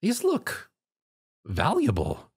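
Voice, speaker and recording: Man voicing a curious market mare, pitched up. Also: huge pitch swings, slow pace, breathy edge, exaggerated voice. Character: ordinary market seller mare, concerned and curious.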